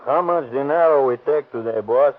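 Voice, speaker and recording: A man's voice: mid-range voice, moderate pace, narrow, tinny audio, close to an everyday voice. An actor playing an affable Mexican policeman and family man, in accented broken English, in 1930s radio drama style. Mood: jovial. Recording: distant, scratchy 1930s radio recording.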